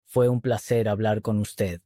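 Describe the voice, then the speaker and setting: Male host-teacher, mellow voice, even measured read, dry close sound. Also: fairly low pitch, dry recording. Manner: clear, even, measured delivery. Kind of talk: slow scripted dialogue reading.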